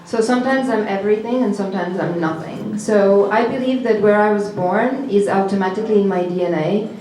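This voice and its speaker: A woman's voice: medium pitch, a little mellow. Serbo-Croatian-speaking woman in an echoey gallery. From former Yugoslavia. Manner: reflective, halting, with restarts. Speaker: panelist, performance artist. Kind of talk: panel discussion answer. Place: gallery event space.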